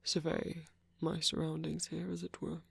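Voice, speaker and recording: A man playing a gentle, nervous king in an intimate ASMR roleplay, speaking formally and earnestly with soft hesitations. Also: a little high, completely flat delivery, moderate pace, creaky, breathy edge, dry recording, heavily acted. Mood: candid, hesitant. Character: gentle, nervous king confessing love to a prince.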